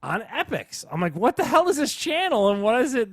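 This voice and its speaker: A man co-hosting a podcast in casual chat, with an animated, slangy, opinionated way of talking. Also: very high voice, fairly quick, no echo, clean studio sound. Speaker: podcast co-host.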